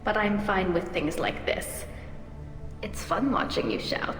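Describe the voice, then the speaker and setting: A woman playing a young female alien in a video game, earnest and gently wry. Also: mid-range voice, sing-song, a little slow. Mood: grateful, relieved. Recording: echoey hall reverb, music behind.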